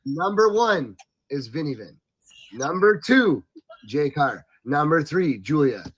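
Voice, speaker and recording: A man hosting a casual live stream show in slangy, animated, informal talk, speaking straight to the audience. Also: fairly high pitch, slow, breathy edge. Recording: dull lo-fi streaming audio.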